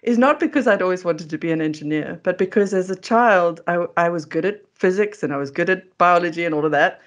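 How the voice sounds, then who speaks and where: A woman's voice: on the low side, fairly quick. A middle-aged South African woman speaks as a guest on a remote video call. Her manner is chatty and self-deprecating, in casual conversational storytelling. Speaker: interview guest, food coach.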